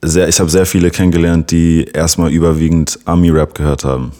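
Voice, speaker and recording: A young man from Berlin whose first language is English, answering interview questions in a relaxed, matter-of-fact way. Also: very deep voice, a little fast. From Berlin, Germany. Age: young adult. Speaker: rapper guest.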